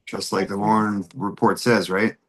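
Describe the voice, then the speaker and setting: A man's voice: on the low side, little pitch movement, moderate pace, fairly bright tone. A man playing an incredulous, easily upset sceptic in a screenplay table read, reacting with disbelief. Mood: sceptical. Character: Tom, incredulous male sceptic, easily upset audience member.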